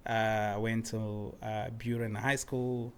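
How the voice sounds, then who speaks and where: A young man who was born in Congo and raised in Cape Town, talking through his life in a relaxed, reflective way. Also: lowish voice, takes it slowly, smooth. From Democratic Republic of the Congo, raised in Cape Town. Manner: relaxed and reflective, often adds "man" and "you know". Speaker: guest artist. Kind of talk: casual interview conversation.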